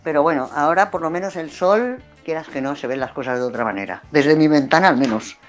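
Chatty Madrid woman, low voice. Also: expressive, fast, lo-fi sound, soft background music. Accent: Madrid Spanish, with some Valencian influence. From Madrid, Spain. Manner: chatty, laughs often, self-deprecating. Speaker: podcast guest. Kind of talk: casual chat.

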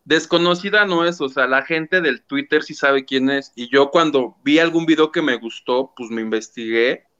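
A man's voice: medium pitch, normal speed, a bit bright, smooth, highs cut off. A man hosting a gossip show, telling the story animatedly and acting out quotes. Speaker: show host. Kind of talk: casual gossip commentary.

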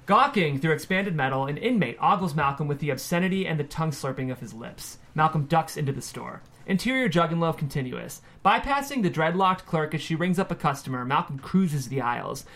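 A man narrates a screenplay at a table read. His voice is fairly high for a man, and he delivers scene headings and action lines as scripted narration. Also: lively intonation, brisk, fairly bright tone. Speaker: stage-direction reader.

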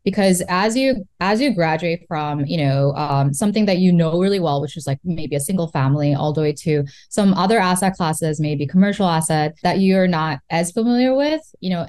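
A woman's voice: lowish voice, expressive, moderate pace, hardly any pauses, a little mellow. A woman in her thirties from South Korea, chatting casually as an interview guest and often tagging "right?" onto her points. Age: 30s. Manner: chatty, many fillers like "right?" and "you know". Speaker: guest, real estate investor.

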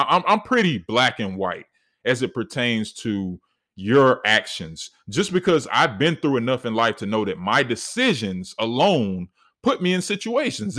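A man in his thirties with a deep voice, hosting a solo podcast and talking earnestly, with many fillers and restarts. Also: a bit bright. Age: 30s. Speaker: podcast host.

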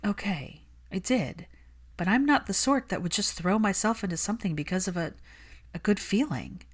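A woman's voice: medium pitch, expressive, normal speed, breathy edge. A woman voicing a defensive, logical young witch in a story reading, clipped and insistent. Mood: flustered, guarded.

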